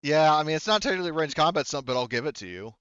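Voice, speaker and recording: Man, game master, clear smooth voice, casual tabletop chat, informal, close dry room sound. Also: on the high side, big pitch swings, very fast, fairly bright tone, dry recording, muffled recording.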